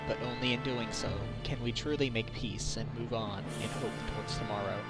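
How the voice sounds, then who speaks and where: A man's voice: mid-range voice, fairly even intonation, fairly quick, natural voice. A man playing an earnest, troubled defense lawyer and father in a game fan dub, brooding and self-doubting. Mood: somber, reflective. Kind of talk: dramatic visual novel dubbing. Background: background music.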